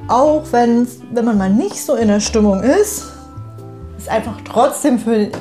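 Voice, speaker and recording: A woman's voice: fairly high pitch, measured pace, mellow, soft background music. A woman in a casual, reflective chat with a friend. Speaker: conversation partner in a personal audio piece.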